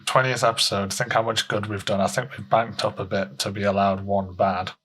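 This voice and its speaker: A man giving comic advice on a podcast in casual, deadpan banter. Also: lowish voice, a little fast, noisy background. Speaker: advice-giver on a comedy podcast. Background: music.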